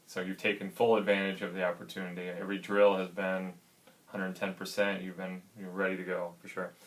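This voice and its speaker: A man hosting a college athletics interview, upbeat and casual, with many fillers and quick agreements. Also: low-pitched, monotone, rough recording. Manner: upbeat, filler-heavy, frequent backchannel agreement.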